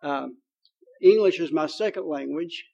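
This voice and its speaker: A man's voice: on the high side, measured pace, vocal fry, a loud crowd, low-quality recording. A man with a Kentucky accent preaching a sermon in a folksy, joking way, with many fillers. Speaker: pastor.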